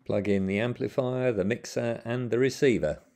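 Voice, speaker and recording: A man's voice: medium pitch, moderate pace, dark tone. A man narrating a plain, methodical how-to voice-over, giving step-by-step instructions with the odd small slip.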